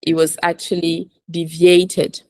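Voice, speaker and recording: A woman's voice: fairly low pitch, monotone, slow pace, a little mellow, no room sound, clean studio sound. A woman working as an English teacher in a one-to-one lesson, instructing, correcting and enunciating words for repetition. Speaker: English teacher coaching aviation English.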